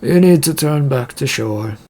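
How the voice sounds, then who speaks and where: A man's voice: mid-range voice, animated, unhurried, mellow. A man voicing a troubled, insistent male shipping company boss in a story, with an urgent, grumbling manner. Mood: urgent, commanding.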